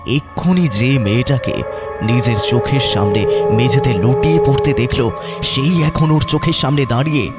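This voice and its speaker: মাঝারি গলার এক পুরুষ কথক, টানটান উত্তেজনায় ভূতের গল্প পড়েন। তাঁর কণ্ঠের নিচে আবহসংগীত পরিষ্কার শোনা যায়।. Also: male voice, mid-range voice. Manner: suspenseful, measured. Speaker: narrator. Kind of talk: dramatic horror storytelling. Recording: music behind voice.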